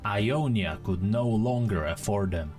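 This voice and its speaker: Man as deep, cold rival ninja. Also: darkish tone, faint music behind, close to an everyday voice. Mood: cold, defiant. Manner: low, hard, deliberate.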